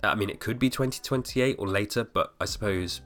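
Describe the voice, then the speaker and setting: Male solo podcast host, 30s, very low voice, a bit hoarse, loose rambling delivery, faint music underneath. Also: brisk, a bit bright. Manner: rambling, full of fillers and restarts. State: slightly hoarse. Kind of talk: casual solo podcast talk.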